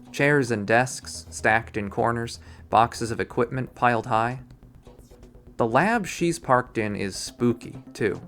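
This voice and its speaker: A man reading a visual novel aloud in expressive first-person narration. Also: medium pitch. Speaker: visual novel readthrough player.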